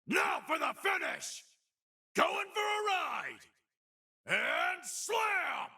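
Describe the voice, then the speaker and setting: An actor playing a brash, swaggering video game brawler who adores his mother, gruff and raspy, taunting in tough-guy slang. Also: very high-pitched, big pitch swings, extremely slow, sharp tone, shouting, recorded from a distance, heavily acted. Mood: fierce, shouting. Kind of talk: punchy fighting game voice lines.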